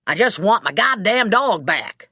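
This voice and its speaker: Lady doing a worked up angry dude in an audiobook, blustery and sweary, really animated. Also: mid-range voice, measured pace, breathy edge, dry recording, studio quality, exaggerated voice. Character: hot-headed man ranting about his stolen dog. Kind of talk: audiobook character dialogue.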